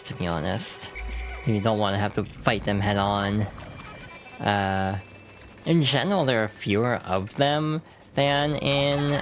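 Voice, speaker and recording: A VTuber streamer with a hoarse, froggy voice from allergies, chatting casually while playing a game and laughing at their own slips. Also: mid-range voice. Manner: chatty and self-deprecating, many fillers, laughs through words.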